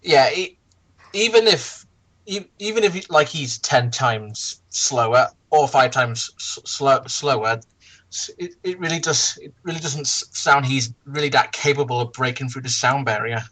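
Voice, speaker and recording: A speaker in casual group commentary who talks haltingly, stammering and repeating words. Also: medium pitch, fairly bright tone, low-quality recording.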